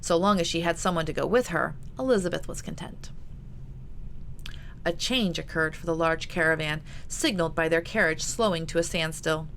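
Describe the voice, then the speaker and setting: A woman's voice: sits low, normal speed, a bit bright. A woman author reading her own historical novel aloud in an audiobook narration style. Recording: noticeable background noise.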